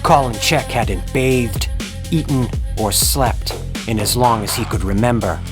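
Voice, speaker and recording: A man delivers scripted horror narration in a deliberate, ominous manner, dwelling on ornate phrases. His pitch sits in an ordinary male range, and music plays clearly behind him. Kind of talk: dramatic scripted true-crime horror narration. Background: background music.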